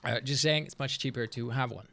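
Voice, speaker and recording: A man in his thirties chatting casually on a live stream, rambling and joking as he reacts to a video, his voice swinging between loud and soft. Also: medium pitch, fairly quick, gravelly.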